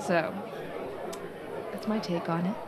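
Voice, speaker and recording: A woman's voice: on the low side, fairly even intonation, slow, crowd noise. A woman co-hosting a casual game review, hesitant and often rephrasing herself. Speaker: podcast co-host.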